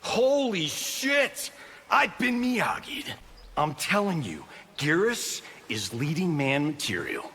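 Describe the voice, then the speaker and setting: An actor playing a cocky, vain Hollywood action star, brash and flippant, in scripted fighting game banter. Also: male voice, a little high, sing-song, slow, pushed and bright, slight background noise, heavily acted. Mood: flippant, amused.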